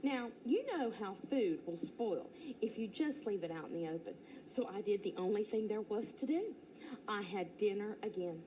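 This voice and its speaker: A teacher reading a children's storybook aloud in first person, playful and expressive. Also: female voice, mid-range voice, moderate pace, a little hiss or hum, rough recording.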